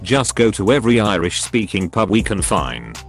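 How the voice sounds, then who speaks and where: Animated male story narrator, music behind. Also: lowish voice, normal speed. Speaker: narrator reading online stories. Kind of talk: scripted narration of forum posts.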